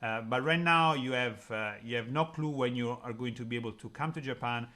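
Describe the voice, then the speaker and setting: Male interview host, English speaker, friendly, halting, lots of uh. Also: medium pitch, sharp tone. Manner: friendly, hesitant with frequent uh fillers, non-native phrasing. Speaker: YouTube channel host, interviewer. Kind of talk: video call interview.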